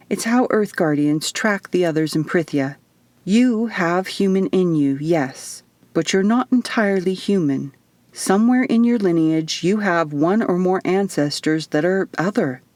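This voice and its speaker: A woman voicing an earnest, gentle male otherworldly guardian in an audiobook, patiently explaining. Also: fairly low pitch, dry recording, natural voice.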